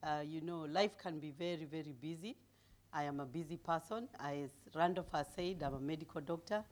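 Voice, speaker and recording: A middle-aged woman from Kenya with a deep voice, preaching as a guest speaker, humble and given to laughing, growing loud and emphatic as she reads scripture. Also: brisk. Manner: grows loud and emphatic when reading scripture. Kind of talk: sermon.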